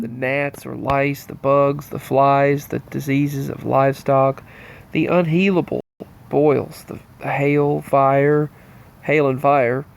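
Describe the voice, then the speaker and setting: A man's voice: mid-range voice, gravelly. A man hosting his channel, with a stuffy nose from allergies, running loosely and earnestly through a list of strange news in a casual monologue. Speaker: channel host.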